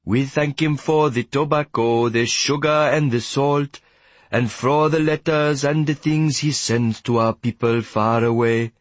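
A solemn chanter leading a prison work gang, as acted by a male narrator. He draws each phrase out slowly in a raised, declamatory sing-song, keeping time like a praise song in a storybook. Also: mid-range voice, steady tone, no room sound, voice pushed up, lightly acted. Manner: slow, rhythmic, declamatory. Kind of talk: sung chant.

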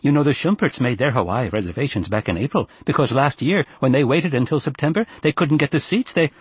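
A man's voice: medium pitch, animated, fairly quick, husky, pitched above the performer's own voice. A man voicing an elderly grandmother who is severe, fretful and reproachful. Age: older. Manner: severe, complaining, panicky insistence.

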